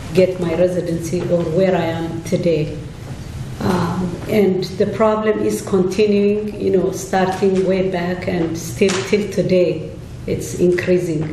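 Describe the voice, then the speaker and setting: A middle-aged woman, a panelist giving a slow, halting personal testimony and partly reading from notes. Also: lowish voice. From Eritrea, raised in Ethiopia. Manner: halting, deliberate, with many pauses. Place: church hall. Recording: echoey hall from a distant mic, noisy.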